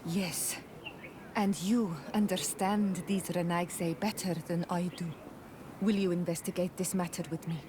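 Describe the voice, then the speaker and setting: A woman acting a grave, low-voiced tribeswoman. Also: steady tone, noisy background, close to an everyday voice. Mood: earnest, concerned. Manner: grave, deliberate, formal. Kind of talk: fantasy video game cutscene acting.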